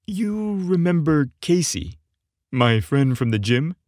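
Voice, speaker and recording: Man reading earnest, hesitant young romance hero, slow-paced. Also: a little high, sing-song, a little mellow, no echo, studio quality, voice pushed up. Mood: apologetic. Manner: hesitant, sincere. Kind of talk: audiobook dialogue.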